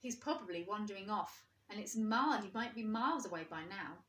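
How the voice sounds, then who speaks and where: A woman's voice: medium pitch, a little fast, a bit bright, a little hiss or hum, natural voice. A woman voicing a blunt, doubtful, grumbling toy duck in a children's storybook. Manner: blunt, pessimistic. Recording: roomy, echoing indoor sound.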